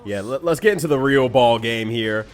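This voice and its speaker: A man's voice: mid-range voice, fairly quick. A man co-commentating a group let's play, joking and laughing often. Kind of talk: casual gameplay chat.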